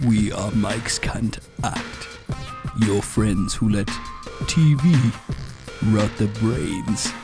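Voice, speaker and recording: An ancient, sinister emperor, as a man puts him on, drawls each word out at a crawl. The voice sits low and grinds with heavy fry. Music plays clearly behind him. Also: full character voice. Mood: menacing. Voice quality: gravelly, slow drawl.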